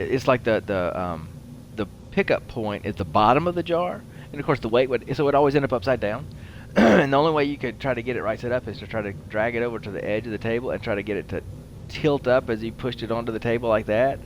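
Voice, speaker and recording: A man with a fairly low voice chats casually while playing a video game. His commentary rambles and halts as his attention moves between talking and play. There is noticeable noise behind his voice. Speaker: host of a gaming show.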